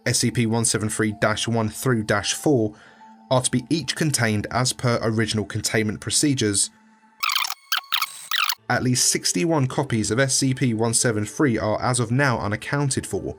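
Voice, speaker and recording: Male narrator for an incident report, mid-pitched, formal scripted read, detached, light music behind. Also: normal speed.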